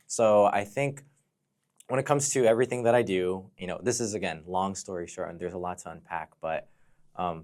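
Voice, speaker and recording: Deep-voiced, reflective American man. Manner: reflective, explanatory, often says "you know". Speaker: podcast guest, fire dancer and entrepreneur. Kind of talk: conversational personal storytelling.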